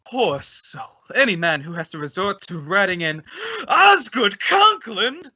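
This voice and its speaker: Man acting a pompous, blustering principal, sharp and swooping. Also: high-pitched, very animated, a little slow, no echo, heavily acted. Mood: indignant, puzzled. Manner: pompous, theatrical, mock-solemn. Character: pompous, blustering male high school principal, easily outraged. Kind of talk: old-time radio comedy acting. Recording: dull, lo-fi audio.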